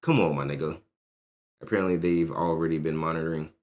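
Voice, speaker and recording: A man's voice: extremely low pitch, fairly even intonation, quick pace, a little mellow. A man in his thirties ranting loosely and profanely while reacting to a video on a livestream. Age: 30s. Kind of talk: casual livestream reaction chat.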